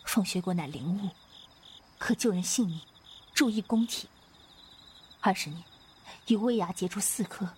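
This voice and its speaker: A woman's voice: medium pitch, normal speed, long pauses, a little mellow, a little hiss or hum, lightly acted. A woman playing a playful, earnest young swordswoman in a Chinese wuxia radio drama, with a hesitant, coaxing, theatrical delivery. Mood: grave, earnest.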